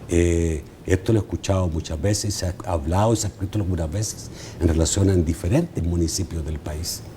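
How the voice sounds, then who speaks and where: Deep-voiced man hosting a debate. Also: warm tone, slight background noise. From El Salvador. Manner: hesitant, halting with many fillers. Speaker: debate show host. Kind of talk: televised debate moderation.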